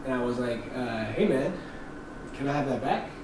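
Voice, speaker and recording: A young man telling stories in casual chat, animated and laughing often. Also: medium pitch, normal speed. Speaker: podcast co-host. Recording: echoey room, distant mic, background noise.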